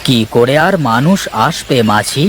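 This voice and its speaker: A man acts a wandering minstrel's ghost in a cartoon story. He is knowing and gentle, stretching words slowly with a soothing, mysterious air. Light background noise surrounds the voice. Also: medium pitch, animated. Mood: casual. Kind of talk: cartoon voice acting.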